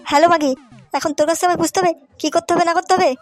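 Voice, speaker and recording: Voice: extremely high pitch, level tone, rapid-fire. A performer voicing brash, foul-mouthed young village men in a comic cartoon, in crude slangy banter over music. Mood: irritated. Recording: music behind the voice.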